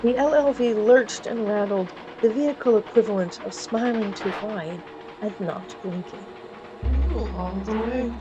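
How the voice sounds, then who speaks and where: A woman's voice: medium pitch, normal speed, lo-fi sound. A woman narrating scripted fiction in a dramatic, wry storytelling manner.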